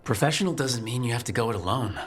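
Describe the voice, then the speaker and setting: A young video game hero, played by a man, steady and wry, who talks directly and plainly. The voice has a medium pitch. Music is clearly audible behind him. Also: fast, natural voice. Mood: reassuring. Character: steady, wry young male adventurer leader, reassuring.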